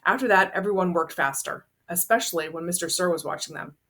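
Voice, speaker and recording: A woman's voice: lowish voice, fairly quick, noisy background. A woman narrating a children's novel, reading it aloud as a storyteller.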